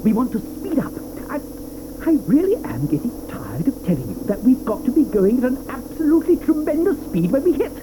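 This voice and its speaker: An actor playing an eccentric, excitable chocolatier who lectures, stammers and chuckles. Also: male voice, extremely high pitch, fairly quick, background noise, heavily acted. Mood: breezy. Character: eccentric excitable male chocolatier, middle-aged. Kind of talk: 1980s radio drama acting.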